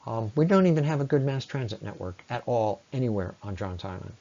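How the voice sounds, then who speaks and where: A man's voice: medium pitch, a little fast, creaky, warm tone. Man giving earnest talk, dull lo-fi sound. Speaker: speaker presenting against a road project. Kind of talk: persuasive public presentation, semi-scripted.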